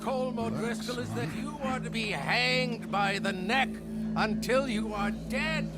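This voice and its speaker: A stern execution official, solemn slow speech, highish voice, loud music all over it. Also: male voice. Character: stern, pompous hangman's official pronouncing a death sentence. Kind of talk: formal proclamation in video game cutscene.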